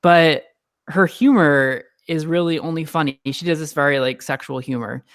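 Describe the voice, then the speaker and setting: A man hosting a casual panel discussion, talking in an animated, ranting way. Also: on the high side, normal speed, smooth. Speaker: host.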